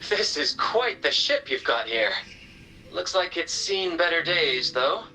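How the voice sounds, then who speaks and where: Bright, flamboyant young seafarer. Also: male voice, fairly high pitch, expressive, a little slow, heavily acted, rough recording. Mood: breezy, teasing. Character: flamboyant, breezy young male seafaring adventurer. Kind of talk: video game character dialogue.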